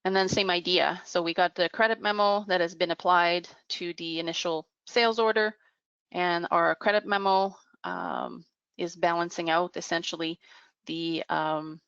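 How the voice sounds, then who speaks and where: Low, creaky-voiced woman presenting a demo. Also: steady tone, normal speed, a bit bright, dry recording, highs cut off. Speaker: webinar presenter giving a software demo. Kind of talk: unscripted software demo narration.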